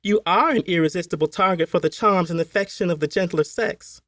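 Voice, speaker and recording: Man doing a gushing belle falsetto. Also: fairly quick, fairly bright tone, no echo. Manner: coy and gushing.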